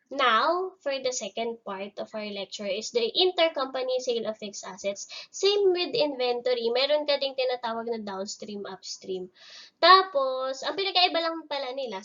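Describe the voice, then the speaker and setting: A woman with a Filipino accent teaches in a fairly high voice. She walks through worked problems step by step, keeping it conversational and instructional. The recording is a little muffled and lo-fi. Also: fairly bright tone. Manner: informal, instructional, mixing technical terms into conversational explanation. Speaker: accounting lecturer. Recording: dull, lo-fi voice recording.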